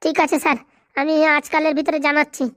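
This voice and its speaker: A woman's voice: high-pitched, level tone, fast, fairly bright tone, no room sound, clean studio sound. A woman voicing a scheming, fretful male village sidekick in a comic cartoon, chattering deferentially in dialect. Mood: hesitant. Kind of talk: comic Bengali cartoon dialogue.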